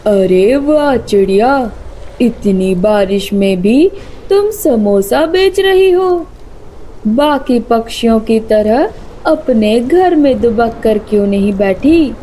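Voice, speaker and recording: Lady doing a greedy lazy bird bad guy for a kids cartoon, super slow drawl, high pitched, gloating. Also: lively intonation, warm tone, slight background noise, poor audio. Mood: menacing. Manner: gloating, menacing, drawn-out musing to himself. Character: lazy greedy predator bird, male, scheming bully. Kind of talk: Hindi children's cartoon voice acting.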